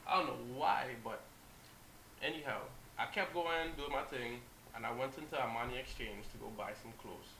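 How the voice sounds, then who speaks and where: A man, a model guest on a talk show, telling animated anecdotes and acting out what people said. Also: mid-range voice, normal speed, reverberant, a little hiss or hum, low-quality recording. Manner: animated anecdotes, acts out quotes, restarts. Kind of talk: casual storytelling.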